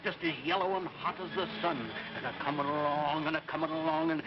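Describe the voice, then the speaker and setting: A man's voice: a little high, fairly even intonation, a little fast, close to an everyday voice. An actor playing a folksy, stubborn old islander in an American 1950s radio drama, drawling out his tales. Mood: spooky relish. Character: folksy old island yarn-spinner, stubborn but good-natured. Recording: muffled, noisy old radio recording.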